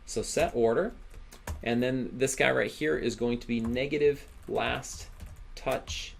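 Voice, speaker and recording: A man giving an informal coding tutorial as an instructor, speaking haltingly with repeated words and frequent check-in questions. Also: mid-range voice, vocal fry, a little hiss or hum. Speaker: programming instructor.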